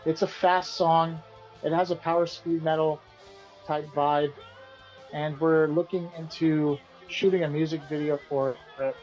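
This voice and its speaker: A man, the band's singer and guitarist, answering interview questions in a relaxed, rambling way and often repeating himself. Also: a little high, measured pace, muffled recording, soft background music. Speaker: band vocalist and guitarist being interviewed.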